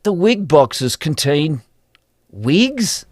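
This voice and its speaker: A man's voice: a little high, sing-song, extremely slow, no room sound, full character voice. A man playing a droll, gossipy member of an opera company, wry and chatty in a farce audio drama. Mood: wry amusement.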